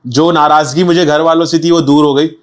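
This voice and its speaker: A man's voice: fairly high pitch, steady tone, brisk. A young man, a guest on a podcast, speaking candidly and reflectively in casual street slang. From India. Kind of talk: casual interview chat.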